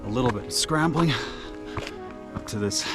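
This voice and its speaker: A man with a naturally loud voice giving casual commentary outdoors on a mountain trail, relaxed, with many filler uhs. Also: medium pitch, normal speed. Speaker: trail running vlogger. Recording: music playing behind the voice.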